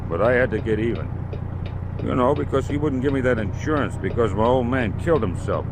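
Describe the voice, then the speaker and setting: An American actor playing an unhinged man who boasts of getting even, rambling and childlike, in 1950s radio drama style. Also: mid-range voice, fairly quick, close to an everyday voice. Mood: agitated, boastful. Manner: rambling, childlike, slangy, self-justifying. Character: unhinged man boasting of his cunning revenge. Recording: noisy old radio show under truck noise.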